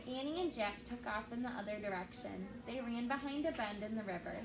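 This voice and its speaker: A woman teacher reading a story aloud to children in an expressive storytelling style. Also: a little high, brisk. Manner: expressive, acts out dialogue. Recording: echoey room with steady background noise.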